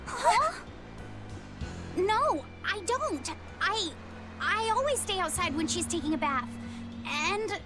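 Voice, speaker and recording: A woman's voice: extremely high pitch, big pitch swings, slow, long pauses, over music, full character voice. A woman voicing an earnest, innocent young boy, shy and curious, in a video game. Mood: flustered.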